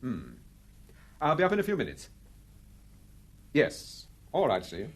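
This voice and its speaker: A gentleman sleuth from a British radio mystery. He is courteous and composed, gently probing as he talks. His medium-pitched voice moves freely up and down. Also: huge pitch swings, a little hiss or hum. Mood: polite, reassuring. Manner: polite, measured, hesitant ums. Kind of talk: British radio detective drama acting.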